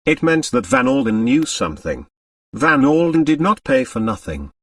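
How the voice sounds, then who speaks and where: A man's voice: medium pitch, huge pitch swings, moderate pace, gravelly, fairly bright tone, no echo, clean studio sound, distant mic. A man reading a novel aloud as scripted audiobook narration.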